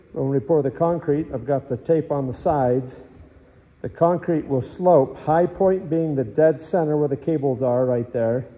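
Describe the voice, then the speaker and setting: A man's voice: medium pitch, dark tone, far from the mic. A middle-aged man explaining a pool build to the camera in a casual vlog, recorded in a large empty concrete pool shell indoors. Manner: explanatory, technical, talks straight to the camera. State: tired late at night. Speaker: builder and channel host. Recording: echoey concrete space with long reverb.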